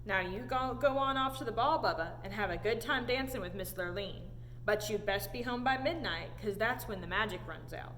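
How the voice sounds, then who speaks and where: A woman's voice: medium pitch, moderate pace, hardly any pauses, fairly bright tone, close to an everyday voice. A woman voicing a kindly, folksy magical cow in a storybook. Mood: encouraging. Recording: echoey hall, audible background noise.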